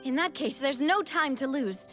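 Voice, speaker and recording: An earnest, bright-voiced young sleuth. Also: female voice, sits high, animated, normal speed, faint music behind. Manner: earnest and reasoning aloud. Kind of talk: video game dialogue.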